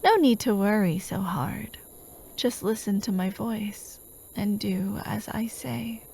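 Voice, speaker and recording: A woman playing a doting, possessive female hypnotist in a soft-spoken ASMR roleplay, gentle and coaxing, often slipping into a whisper. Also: medium pitch, takes it slowly, creaky, a little hiss or hum. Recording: steady outdoor night ambience, chirping crickets. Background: crickets and night insects.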